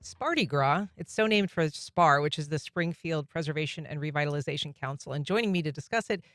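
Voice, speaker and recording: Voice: mid-range voice, a little fast, fairly bright tone, dry recording, clean studio sound, soft background music. A radio talk show host welcoming guests and asking friendly questions with casual fillers. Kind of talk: radio interview.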